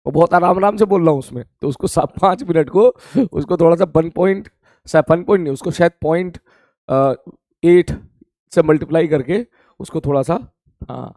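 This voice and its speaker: Hoarse, chatty male physics teacher. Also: a little high, moderate pace, warm tone, no room sound. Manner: chatty, repeats greetings and calls students "beta". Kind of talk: live-streamed class chatting with students.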